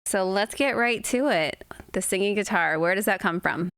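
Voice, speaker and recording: A woman's voice: mid-range voice, moderate pace, fairly bright tone, clear, resonant tone, no room sound. Encouraging woman podcast host, dry sound. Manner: friendly, encouraging interviewer. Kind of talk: interview hosting.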